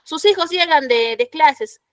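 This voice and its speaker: A woman's voice: high voice, animated, unhurried, no room sound. A woman teacher with a Peruvian Spanish accent gives a classroom lecture. She explains patiently and keeps checking in with her students through short tag questions.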